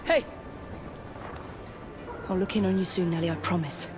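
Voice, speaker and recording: An actress plays a protective young Victorian Englishwoman on an investigation. Her voice sits a little low and sounds resolute, as in a dramatic video game. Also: moderate pace, over music, natural voice. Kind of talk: video game cutscene acting.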